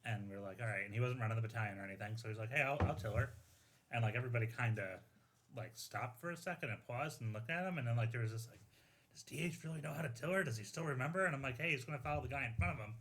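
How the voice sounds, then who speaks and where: A man's voice: mid-range voice, very fast, a bit bright, slight background noise. A man telling an anecdote in casual, informal talk, peppered with "like" and quoted dialogue.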